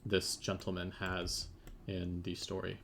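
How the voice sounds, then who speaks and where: A man's voice: sits low, completely flat delivery, measured pace, vocal fry, fairly bright tone, slight background noise. A man who works as a 3D modeller, explaining things in a thoughtful, hesitant way on a casual live stream. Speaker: game developer and 3D modeller.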